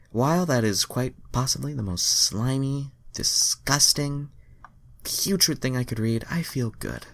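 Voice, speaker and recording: Male amateur reader, low pitch, dry close sound, casual and self-mocking with some swearing. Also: dry recording. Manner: informal, self-deprecating, sweary. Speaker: amateur reader of fan fiction, host. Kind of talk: reading fan fiction aloud with wry asides.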